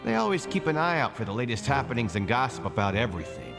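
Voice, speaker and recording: A man's voice: mid-range voice, very animated, fast, vocal fry. A man playing a friendly, easygoing inmate and card game fan in a video game, chatty and affable. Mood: chatty, amused. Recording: game music behind the voice.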